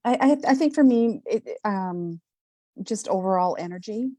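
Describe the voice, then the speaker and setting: Woman call participant, slow and mellow, hesitant, dry sound. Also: medium pitch, expressive, dry recording. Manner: hesitant, halting. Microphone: video call.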